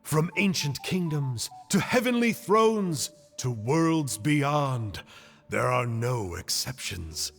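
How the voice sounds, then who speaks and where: A slow, grandiose villain in reverb. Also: male voice, medium pitch, fairly bright tone, exaggerated voice. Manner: slow, portentous, declamatory. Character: grandiose scholarly male antagonist musing on fallen civilizations. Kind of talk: dramatic video game monologue. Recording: long reverberant hall.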